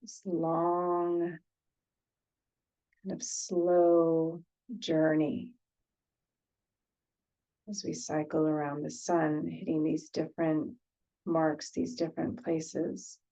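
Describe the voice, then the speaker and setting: A woman leading an unscripted guided meditation as a teacher, gently, with pauses, repeated phrases and sentences that trail off. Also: low-pitched, completely flat delivery, muffled recording.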